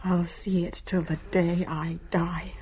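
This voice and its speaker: An actress playing a sorrowful, haunted woman, speaking in a hushed, husky, halting voice in a radio play. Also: on the low side, little pitch movement. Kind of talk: radio drama acting.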